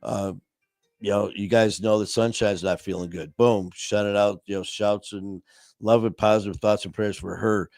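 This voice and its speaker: A man hosting a casual live stream, talking warmly to viewers in a rambling way with many fillers and restarts. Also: fairly low pitch, fairly even intonation, normal speed, dry recording, soft background music. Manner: friendly and upbeat, addressing viewers. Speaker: livestream host.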